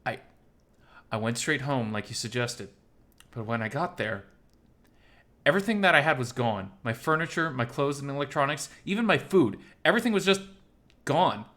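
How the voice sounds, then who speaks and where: A man's voice: medium pitch, measured pace, long pauses, fairly bright tone, natural voice. A man voicing a despondent, anxious male animal character in an audio story, hesitant and vulnerable. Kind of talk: dramatized audio story dialogue.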